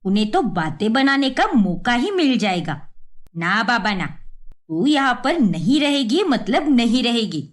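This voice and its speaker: A woman's voice: fairly high pitch, sing-song, measured pace. Lady doing a bossy scolding mom in a story, fed up, wont budge, voice swings a lot. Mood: indignant, stern. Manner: exasperated, lecturing. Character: scolding middle-aged mother, blunt and unyielding.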